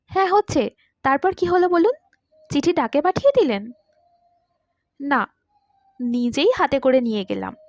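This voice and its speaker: Woman, high-pitched, expressive storytelling narration, dry room, slightly muffled recording. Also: a little slow, pauses often, no room sound. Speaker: story reader. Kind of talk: expressive scripted narration of a literary short story.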